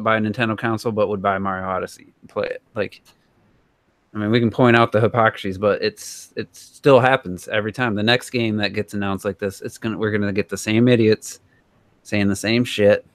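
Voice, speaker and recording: A man's voice: fairly low pitch, fairly even intonation, fairly quick, no room sound. A man co-hosting a podcast, talking casually and giving his opinions in a rambling, conversational way. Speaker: podcast co-host.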